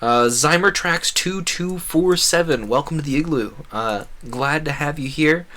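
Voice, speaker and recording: A man's voice: fairly high pitch, unhurried, bright, forceful tone. A man livestreaming a game, chatting casually and thinking aloud in a rambling way. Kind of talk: casual gaming stream chat.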